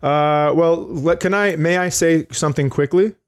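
A man's voice: a little high, moderate pace. A man co-hosting a comedy podcast, riffing casually with mock quotes and comic scenarios. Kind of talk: casual joking banter.

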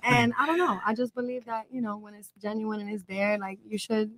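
A woman of twenty-five from South Florida, chatting casually as a podcast guest in a relaxed, drawn-out way. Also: medium pitch, a loud crowd, very noisy. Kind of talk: casual chat.

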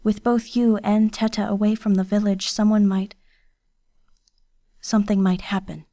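A woman voicing a timid young servant girl who speaks softly, politely and hesitantly. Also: medium pitch, little pitch movement, normal speed, natural voice.

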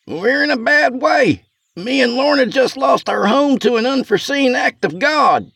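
A man's voice: very high voice, expressive, normal speed, creaky, fairly bright tone, husky, no room sound, voice pushed up, exaggerated voice. A man voicing a hapless, timid country fellow who is whiny, folksy and pleading, in an audiobook story. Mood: nervous.

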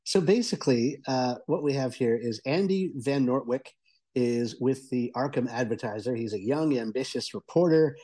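A male radio columnist talks through a review in a conversational style. His voice is mellow and dark, with a husky grain, and he explains keenly in halting stretches. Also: medium pitch. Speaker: audio entertainment columnist and reviewer.

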